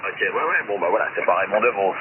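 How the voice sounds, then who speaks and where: A man's voice: on the low side, animated, fast talker. A man talking casually and with opinions over amateur shortwave radio, in a rambling, colloquial way. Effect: shortwave ham radio transmission. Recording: noisy narrow-band shortwave radio link.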